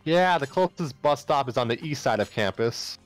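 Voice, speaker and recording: A man's voice: mid-range voice, animated, normal speed, no echo, pitched above the performer's own voice, close to an everyday voice. A man voicing a friendly, easygoing young female college student in casual story dialogue. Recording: music playing behind the voice.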